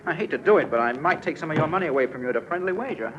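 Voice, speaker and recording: Quick-talking jovial general, acted by a man. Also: a little high, expressive, lightly acted. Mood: jovial challenge. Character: jovial, wager-loving male general, a weekend guest. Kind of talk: 1950s radio drama acting. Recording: dull, faintly noisy old radio recording.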